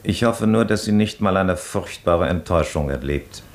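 A man plays an aristocratic baron in a radio drama. His voice is very low. He speaks with formal, measured courtesy, as a scrupulous and kindly employer would. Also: close to an everyday voice. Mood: doubtful, concerned. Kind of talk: radio play acting.

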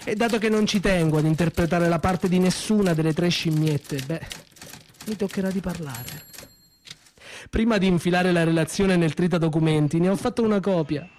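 A male actor plays a bitter secretary in a radio play monologue. His voice sits a little high for a man. He speaks with measured, defiant deliberation and leaves dramatic pauses. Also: a little mellow, slight background noise, natural voice. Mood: grave, defiant.

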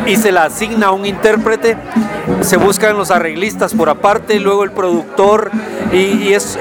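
High-voiced Guatemalan man, music behind. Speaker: guest singer-songwriter. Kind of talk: conversational interview answers. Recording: music and crowd noise behind.

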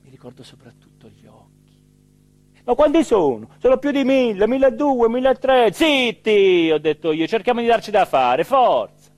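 An actor playing an excitable small-town mayor in a theatrical radio monologue, with an emphatic delivery that swings from hushed to shouted. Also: male voice, very high-pitched, normal speed, no room sound. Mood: alarmed, commanding. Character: excitable small-town Italian mayor recounting his dream and a night emergency.

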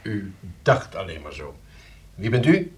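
A man's voice: low-pitched, big pitch swings, unhurried. A man acting in a radio play as a suspicious police detective, dryly ironic and pointed in his questioning. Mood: dry, probing. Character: dry, probing, suspicious male police detective.

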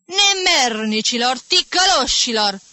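A woman voicing a small, irritable male music teacher around fifty who shouts insults at his pupils and mocks them. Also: on the high side, very animated, no room sound, voice pushed up, full character voice. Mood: furious. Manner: shouting insults, mocking and ironic.

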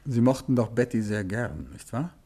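An actor playing an urbane, tactful but insistent gentleman detective in a 1950s German radio drama, polite and measured as he probes. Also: medium pitch, animated.

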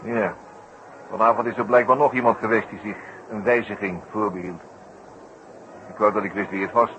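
An actor playing a shrewd, amused detective inspector who muses and repeats himself, in 1960s radio drama style. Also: male voice, fairly low pitch, steady tone, normal speed, natural voice. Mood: wry. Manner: musing, hesitant, repeats his words. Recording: muffled, noisy old radio recording.

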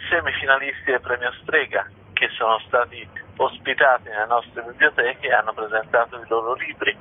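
Male radio guest, informative interview delivery, slightly husky, narrow phone-line sound. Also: medium pitch. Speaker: library institution representative, interview guest. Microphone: telephone.

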